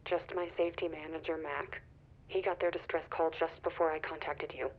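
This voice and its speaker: A woman's voice: low-pitched, flat delivery, brisk, a little hiss or hum. An actress playing a nervous, guarded company spokeswoman in a video game, speaking carefully and politely over a phone line. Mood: uneasy, subdued. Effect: phone call filter. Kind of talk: naturalistic video game acting. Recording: tinny, narrow phone line.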